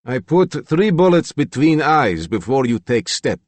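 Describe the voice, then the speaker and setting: A man voicing a young, calm and dangerous Yugoslavian gunman with a Slavic accent and clipped broken English, in an audiobook. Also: mid-range voice, measured pace, no echo, pitched above the performer's own voice. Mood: calm menace. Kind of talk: audiobook character dialogue.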